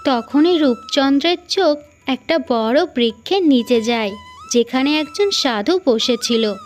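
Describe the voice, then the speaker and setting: Unhurried Indian Bengali woman storyteller. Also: sits high, animated, faint music behind. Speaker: storyteller narrator. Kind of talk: scripted fairy-tale narration.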